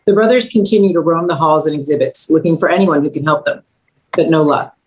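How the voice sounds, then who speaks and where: A woman's voice: low-pitched, fast talker, a little mellow, slight background noise, low-quality recording. A woman narrating scripted stage directions at a table read.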